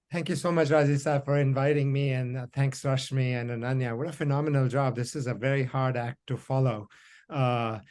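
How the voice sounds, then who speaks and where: A middle-aged man from India, whose first language is Hindi, giving reflective academic remarks on a panel with many fillers. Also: mid-range voice. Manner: reflective, storytelling, frequent fillers. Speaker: panel discussant.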